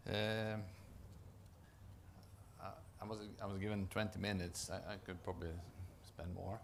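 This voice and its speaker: A man with a Norwegian accent giving a conference presentation as a project manager, often halting to restart and repeat words. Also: sits low, flat delivery, gravelly, slight background noise. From Norway.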